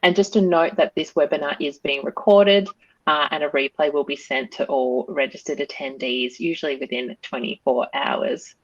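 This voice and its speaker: An Australian woman hosts an online webinar and welcomes attendees with frequent ums. Her voice sits low. The video call audio is dull and dry. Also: moderate pace, dry recording. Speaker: webinar host and general manager. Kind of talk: formal webinar introduction.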